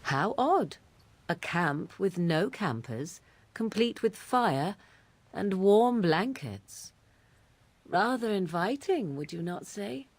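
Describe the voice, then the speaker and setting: An actress playing a composed, wistful adventuring companion in a fantasy game, with measured and formal phrasing. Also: female voice, medium pitch, lively intonation. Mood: drowsy, musing. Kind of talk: fantasy video game acting.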